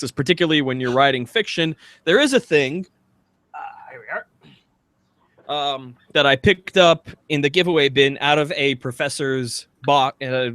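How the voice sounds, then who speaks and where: Rambling, higher-voiced man chatting on a podcast. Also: a bit bright, no room sound. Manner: rambling, hesitant, dryly self-deprecating. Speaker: podcast co-host. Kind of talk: casual chat.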